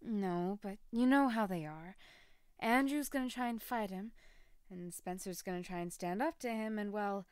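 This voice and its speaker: A woman's voice: mid-range voice, moderate pace, clean tone, dry recording, studio quality, close to an everyday voice. A woman voicing a worried, exasperated teenage girl who keeps the peace, in an audiobook. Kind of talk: audiobook character acting.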